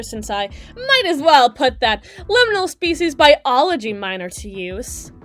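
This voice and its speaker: Woman acting as sardonic demon club DJ, high voice, very animated intonation, crass, defensive, exasperated, audio roleplay drama. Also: lightly acted. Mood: weary, sardonic explaining. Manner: sarcastic, exasperated, biting, foul-mouthed. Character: snarky, crass, fast-talking female demon club DJ, bitter and defensive.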